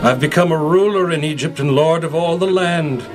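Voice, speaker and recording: A man's voice: a little high, moderate pace, close to an everyday voice. An actor playing Joseph, a grown man who rules in Egypt and forgives his brothers, speaking in a solemn, declamatory radio drama manner, with music behind.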